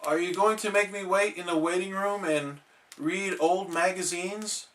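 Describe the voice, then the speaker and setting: A man voicing an excitable, naive young male cartoon sea sponge with an eager, exclamatory delivery. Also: high voice, a little slow, pitched above the performer's own voice. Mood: worried.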